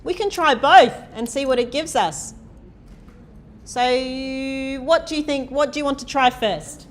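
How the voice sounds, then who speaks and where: A woman giving a live coding lecture, talking loudly and quizzing the class as she types. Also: high voice, unhurried, slight background noise. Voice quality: loud voice. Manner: chatty, asks the class questions, thinks aloud while typing. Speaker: university programming lecturer. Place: lecture hall.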